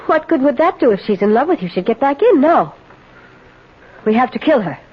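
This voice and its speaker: A dull, slightly noisy vintage radio recording carries a forceful young woman. Her voice sits fairly high and swings widely in pitch, imperious and intense, with a vindictive edge in full radio-drama style. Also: big pitch swings, a little fast, husky, heavily acted. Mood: menacing. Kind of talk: old-time radio drama acting.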